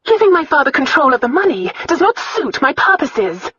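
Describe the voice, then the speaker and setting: A woman's voice: fairly high pitch, animated, moderate pace, a bit bright, breathy edge, dry recording, dull audio, voice pushed up. A woman voicing a poised, determined eighteen-year-old princess in an audiobook, speaking politely and firmly with control. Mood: resolute. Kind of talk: audiobook character acting.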